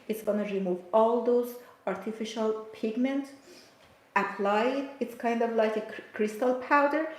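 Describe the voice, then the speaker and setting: A woman's voice: mid-range voice, darkish tone. Farsi-speaking woman explaining hair color. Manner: casual, explanatory, with fillers and restarts. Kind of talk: live tutorial talk. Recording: echoey room.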